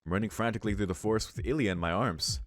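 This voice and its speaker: Low-voiced man reading aloud, dry sound. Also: rapid-fire, no echo. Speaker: let's play host. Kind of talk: reading visual novel narration aloud with casual asides.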